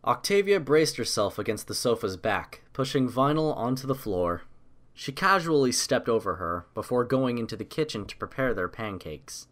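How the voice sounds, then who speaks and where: A man narrates a story from a script. His voice sits at a middle pitch, and he delivers the prose as a storyteller between the characters' lines. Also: very animated, normal speed, a bit bright.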